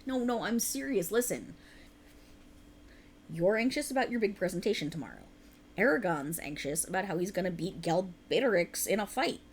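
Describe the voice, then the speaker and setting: Casting: woman as a tired writer girlfriend for ASMR roleplay, lively swooping pitch, earnest, playfully defensive, faint noise behind. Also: normal speed. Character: tired, rambling, passionate young woman writer, teasing girlfriend. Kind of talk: ASMR girlfriend roleplay, intimate conversational acting.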